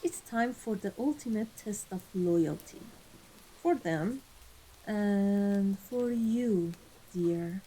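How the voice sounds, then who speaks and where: A woman playing an amused, ruthless vampire mafia boss in a scripted ASMR roleplay, speaking in a deliberate, theatrical, condescending way. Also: medium pitch, expressive, dark tone, slightly rough, low-quality recording. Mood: cool, commanding. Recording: voice over background music.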